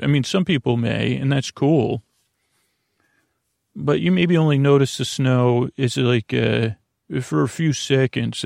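A man hosts a sleep podcast in a gravelly, creaking voice at a middling pitch. He meanders through a bedtime monologue, halting, restarting and wandering off topic. The sound is dry, with no room echo. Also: a little mellow. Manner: halting, self-interrupting, trailing into tangents.

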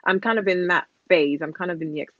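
A woman's voice: on the low side, fast, fairly bright tone, no echo, dull audio. A woman in her thirties, a podcast guest, talking candidly and rambling a little, with plenty of fillers. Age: 30s. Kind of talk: casual chat.

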